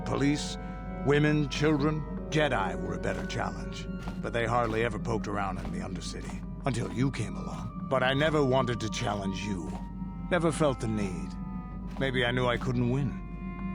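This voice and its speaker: A man's voice: medium pitch, gravelly, lightly acted. An actor playing an aging Mandalorian mercenary who talks bluntly and broods over his past. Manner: blunt, brooding, world-weary. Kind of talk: video game voice acting.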